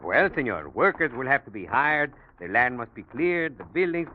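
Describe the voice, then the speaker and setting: An obliging, talkative South American hotel man played by a male actor with a Spanish accent. His courteous, probing speech swings widely in pitch and falters politely. It sounds like a forties radio play on a thin, noisy old recording. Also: very animated, normal speed, phone-quality sound, lightly acted. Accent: Latin American Spanish. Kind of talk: 1940s radio drama acting.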